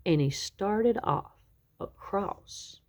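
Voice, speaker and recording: A woman reading a children's picture book aloud expressively, with dramatic, drawn-out emphasis on key words. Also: medium pitch, expressive, a little mellow. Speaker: children's story reader.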